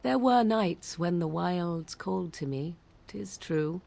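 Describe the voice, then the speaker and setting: A woman's voice: fairly low pitch, expressive, slow, creaky, mellow, exaggerated voice. An actress playing an aloof, sardonic young witch from the wilds, speaking in a formal, archaic and haughty way in studio game dialogue. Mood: cool. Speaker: game companion character.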